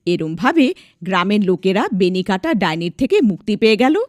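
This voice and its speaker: A woman tells a scripted folk story as its narrator. Her mid-pitched voice moves with lively intonation, in a very dry recording. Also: fairly quick, no echo.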